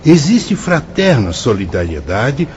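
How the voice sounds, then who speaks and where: Learned spirit instructor, Brazilian accent, solemn, didactic, widely varied intonation, dull lo-fi radio drama sound. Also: male voice, mid-range voice, big pitch swings, a little mellow, slight background noise. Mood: patient, reverent. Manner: didactic, formal, measured oratory. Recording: dull lo-fi audio over music.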